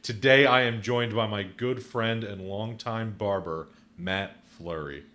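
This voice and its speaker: Friendly, fairly low-voiced podcast host. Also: male voice, a little slow, bright, forceful tone. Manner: friendly, effusive with praise, some restarts. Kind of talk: casual interview chat.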